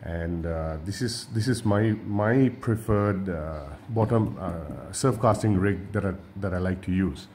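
A man from Sri Lanka with a fairly low voice walks through a fishing rig at a table, talking in a relaxed way and often repeating himself. He is recorded on a camera mic above his hands, with a faint room hum behind him. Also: measured pace, hardly any pauses. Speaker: fishing channel host. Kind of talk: hands-on tutorial.

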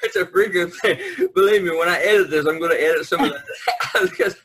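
A man's voice: sits high, animated, quick pace, background noise. A man hosting a casual artists' podcast over an online call, informal and jokey, acting out little quotes as he chats. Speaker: podcast host and editor, artist. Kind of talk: casual chat. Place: online video conference call. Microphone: video call.

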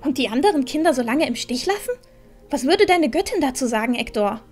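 A woman's voice: high-pitched, expressive, brisk, faint music behind. A woman playing a bold, determined young heroine in a fantasy audio drama, impatient to help. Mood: indignant, resolute.

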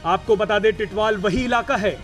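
A man's voice: high voice, normal speed, faint music behind. A man reading a scripted television news report as a narrator.

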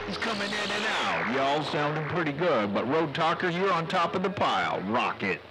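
A man's voice: on the high side, sing-song, moderate pace. A man chatting as a CB radio operator, using colorful folksy slang over the air. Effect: CB radio transmission. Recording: loud static on CB radio.

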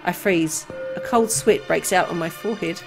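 A woman reading a horror visual novel aloud in an expressive storytelling style. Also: lowish voice, moderate pace, vocal fry, over music.

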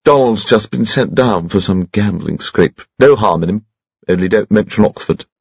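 A man voicing a jolly, small, round elderly aristocrat with an extraordinarily high-pitched voice and old-fashioned colloquial phrasing. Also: moderate pace, vocal fry, darkish tone, slightly rough, no room sound. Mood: chirpy.

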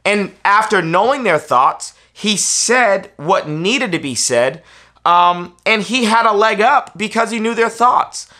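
A man's voice: sits high, lively intonation, slow, pushed and bright. An American man in his thirties, a preacher giving an instructional talk in an emphatic, persuasive manner, repeating key phrases. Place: small furnished indoor room, seated on a sofa.

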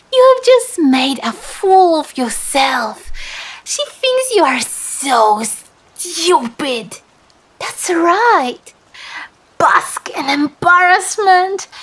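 A woman's voice: sits high, fairly bright tone, exaggerated voice. A woman playing a seductive demon who feeds on embarrassment, speaking in a teasing, theatrical way in ASMR roleplay style. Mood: mocking, delighted. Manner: teasing, theatrical, slow and drawn-out.

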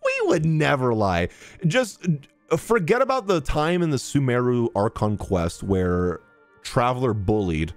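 A man's voice: mid-range voice, a little slow, no echo, faint music behind. A young man giving casual, jokey live reaction commentary as a game streamer. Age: young adult. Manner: animated, jokey. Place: small indoor streaming room.

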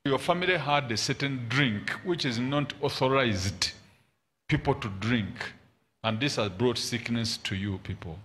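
Commanding male preacher, bright forceful voice. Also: medium pitch, measured pace. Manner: commanding, repeats short phrases. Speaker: preacher leading prayer and prophecy. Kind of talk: deliverance prayer and prophecy to congregation. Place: large church hall with congregation. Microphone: handheld microphone. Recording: noisy hall PA with ambient sound.

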